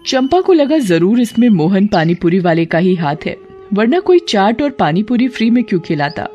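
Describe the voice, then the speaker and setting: A woman narrating a scripted cartoon storybook in an even, clear storytelling voice. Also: medium pitch, moderate pace, lo-fi sound, faint music behind.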